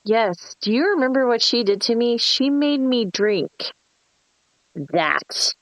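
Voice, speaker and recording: A woman's voice: a little high, expressive, slow pace, a bit bright, exaggerated voice. A woman playing a bossy, sarcastic young woman scheming a prank in a screenplay table read. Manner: sarcastic, foul-mouthed, commanding.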